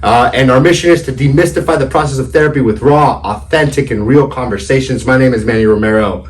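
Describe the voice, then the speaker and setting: Hoarse, playful male podcast co-host. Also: mid-range voice, fast, recorded from a distance. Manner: playful, laughs often, says "dude" a lot. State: losing his voice, sore throat. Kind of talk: casual chat. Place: studio office.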